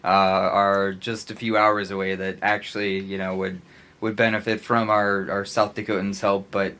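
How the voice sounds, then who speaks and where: An American man chatting casually as a podcast co-host, often halting as he searches for his words. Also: on the low side, flat delivery, sharp tone.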